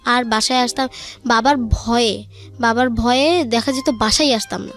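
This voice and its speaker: A young woman with a high voice and a Bangladeshi accent tells a personal story as a guest. Her speech is informal, with music playing softly underneath. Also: steady tone, normal speed. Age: young adult.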